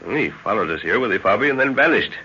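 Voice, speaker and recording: A muffled 1930s radio adventure recording. a worldly, authoritative professor with a very low, raspy voice. He talks like a measured, decisive father. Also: animated, sharp tone, heavily acted. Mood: grave, knowing.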